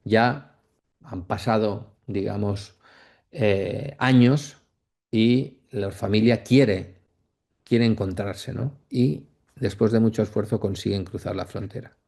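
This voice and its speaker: A man giving an academic lecture off the cuff, reflective and halting, often checking in with short question tags. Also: lowish voice, gravelly, a little mellow. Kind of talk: masterclass lecture, speaking off the cuff.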